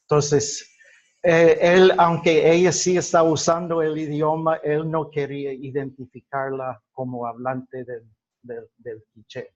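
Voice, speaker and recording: A man whose first language is English, giving a classroom lecture in Spanish, halting with many fillers and restarts. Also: a little high, fairly even intonation, lo-fi sound. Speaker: teacher.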